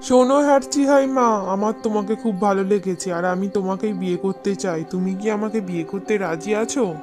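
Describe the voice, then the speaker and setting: A woman's voice: medium pitch, moderate pace, darkish tone, soft background music, close to an everyday voice. A woman voicing an earnest, determined young man, a landowner's son in a cartoon fairy tale.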